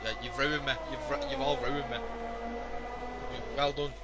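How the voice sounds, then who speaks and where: Hoarse man, worn-out commentator. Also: mid-range voice, level tone, unhurried, very noisy. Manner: weary, self-mocking banter with the chat. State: hoarse, losing his voice. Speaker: sim racing commentator. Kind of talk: live esports stream commentary.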